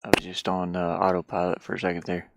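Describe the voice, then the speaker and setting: A game developer chatting casually and haltingly while working at a computer, murmuring half to themselves. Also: low voice, completely flat delivery, creaky, very noisy. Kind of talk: casual screen-share chat while working. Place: inside a van.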